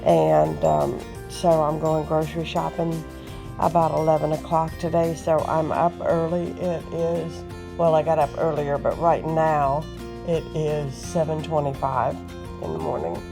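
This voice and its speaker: A woman's voice: low-pitched, steady tone, unhurried, music in the background. Chatty Appalachian woman, froggy morning voice. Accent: Appalachian American. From West Virginia, USA. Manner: chatty, homey, rambling with fillers. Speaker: home vlogger. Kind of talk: casual chat to camera, grocery haul. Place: small bedroom indoors. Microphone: phone at arm's length.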